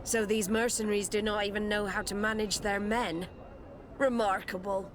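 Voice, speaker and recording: An actress playing a stern, proud, indignant navy admiral in a video game, speaking in a formal, commanding manner. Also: female voice, mid-range voice, steady tone, moderate pace, a little hiss or hum, lightly acted. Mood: indignant, threatening. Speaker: game character actor. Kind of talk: dramatic video game acting.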